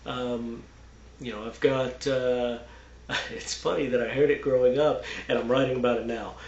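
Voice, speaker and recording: Texas-raised man, mid pitch, halting unscripted explainer, dull roomy sound. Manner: halting, many fillers and restarts, explains with "okay" and "right". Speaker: religious commentator. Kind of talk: unscripted video monologue.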